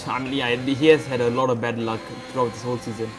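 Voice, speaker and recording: Hoarse male esports race caller, excitable, game audio and music underneath. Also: medium pitch, fast talker, rough recording. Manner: excitable, blunt, talks over co-host. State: hoarse, losing his voice. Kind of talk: live sim-racing commentary. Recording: game sounds and music behind. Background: racing game engine noise and music.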